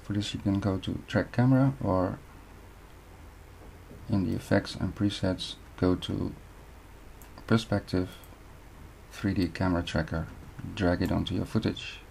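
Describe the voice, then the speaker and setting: Young Dutch-accented man, low gravelly voice, calm screen-recorded tutorial over faint hiss. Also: poor audio. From Netherlands. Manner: steady step-by-step instruction with short pauses. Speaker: video editing tutor.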